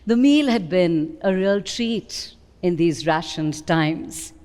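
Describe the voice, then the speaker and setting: A woman with an Indian accent giving an expressive, deliberate dramatic reading of literary prose. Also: fairly low pitch. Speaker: reader at a book event. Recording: long hall reverb.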